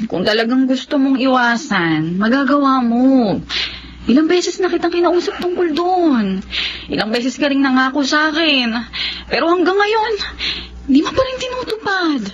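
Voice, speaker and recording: An actress playing a responsible, patient young woman in a radio drama, earnest and gently firm. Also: sits high, expressive, moderate pace, dull audio, a little hiss or hum. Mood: hurt, reproachful. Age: young adult. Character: responsible, patient young daughter and reproachful fiancée.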